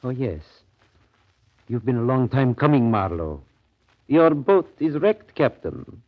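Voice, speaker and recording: An actor playing a grave, evasive colonial trading station manager, measured and ominous in a radio drama. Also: male voice, mid-range voice, sing-song. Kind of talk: 1930s radio drama acting. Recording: dull, lo-fi 1930s radio recording.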